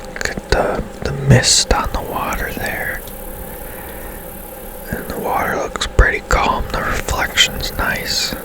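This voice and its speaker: A game host giving ASMR gameplay commentary in a soft, breathy whisper, gentle and unhurried with pauses. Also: rough recording.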